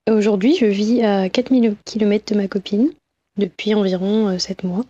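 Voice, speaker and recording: A woman in her twenties giving a personal testimonial in a calm, poised, measured way. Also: mid-range voice, dry recording, highs cut off. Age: 20s.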